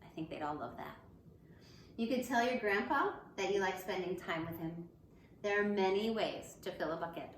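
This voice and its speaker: The recording has a clear room echo and a faint layer of noise. In it, a woman speaks at a middling pitch as a schoolteacher addressing young children. She uses simple, encouraging phrases, in the manner of a picture book read-aloud. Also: normal speed. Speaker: elementary school teacher.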